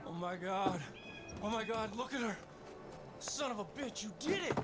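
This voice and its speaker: A rugged, hot-tempered bush pilot in a video game, swearing often and swinging wildly in pitch. His voice sits high for a man and jumps around, with music under the scenes. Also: normal speed. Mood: excited. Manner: swearing, emotional, animated outbursts. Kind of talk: video game cutscene acting.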